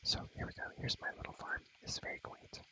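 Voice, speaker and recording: Young man in a breathy, bright whisper. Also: brisk, no echo. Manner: rambling, repeats words and phrases, slangy. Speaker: ASMR creator showing a Minecraft world. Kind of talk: whispered ASMR, casual gaming chat. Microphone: close microphone.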